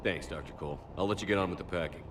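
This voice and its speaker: A man playing a decisive, steady military commander in a sci-fi video game, curt and businesslike. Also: low-pitched, racing, background noise, natural voice. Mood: calm, businesslike.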